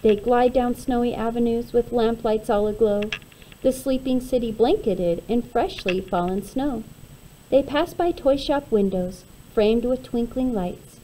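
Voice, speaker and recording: A woman reading a rhyming children's picture book aloud in an expressive, measured way. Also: on the high side, slight background noise, rough recording. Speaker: storybook reader.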